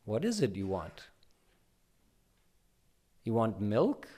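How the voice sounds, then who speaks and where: A man's voice: lowish voice, huge pitch swings, takes it slowly, mellow, heavily acted. A man voicing village aunties who coax and question a fussy little boy. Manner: questioning, coaxing, slightly raised voice. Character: village women, fussing aunties asking a child.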